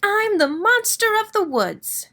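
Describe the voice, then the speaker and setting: A woman's voice: extremely high pitch, big pitch swings, takes it slowly, pushed and bright, voice pushed up, full character voice. A woman voicing a tiny, timid but proud furry male monster in a children's story, speaking plaintively.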